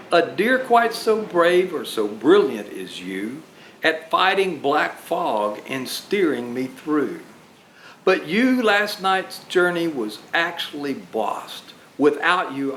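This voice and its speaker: Man acting kindly old gift-bringer, storybook verse, stately and earnest, animated pitch, roomy echo. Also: heavily acted. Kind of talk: storybook read-aloud in rhyme. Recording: roomy echo, faint background noise.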